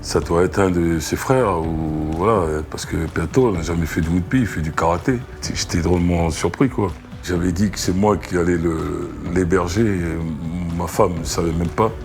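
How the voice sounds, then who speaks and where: A middle-aged man recounting personal memories in an interview, halting and repeating words as he goes. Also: deep voice, slight background noise. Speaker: rugby mentor and recruiter. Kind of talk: emotional anecdotal interview. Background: background music.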